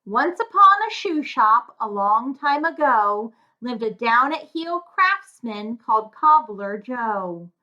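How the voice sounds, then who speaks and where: A woman's voice: a little high, big pitch swings, takes it slowly, few pauses, pushed and bright, muffled recording. A woman reading a rhyming fairy tale aloud to children in a sing-song way, often turning to her young listeners with questions. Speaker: children's story reader and tutor.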